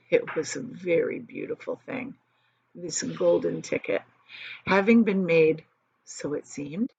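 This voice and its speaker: A woman teacher reading a children's book aloud with expressive, softly varied storytelling. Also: on the low side, husky. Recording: dry, dull lo-fi audio.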